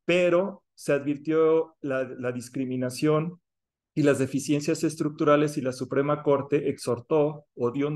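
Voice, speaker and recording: A man presenting formally on a panel, measured with occasional fillers. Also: mid-range voice.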